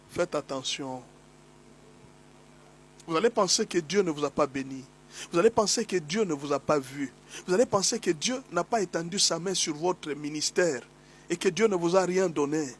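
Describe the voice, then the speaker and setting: A man's voice: on the high side, slight background noise. Man preaching with a head cold. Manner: emphatic, repetitive preaching with rising exhortations. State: has a cold, slightly hoarse. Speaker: pastor. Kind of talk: sermon teaching.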